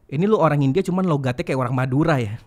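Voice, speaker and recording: A man's voice: a little high, rapid-fire, darkish tone. A young man, a comedian guest who laughs as he tells stories about himself. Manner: laughing, self-deprecating storytelling. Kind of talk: casual chat.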